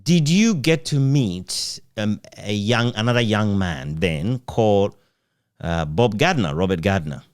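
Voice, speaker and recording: A man interviewing in a conversational way, phrasing his questions in a measured, hesitant manner. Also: on the low side, expressive, no room sound, studio quality. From Ghana.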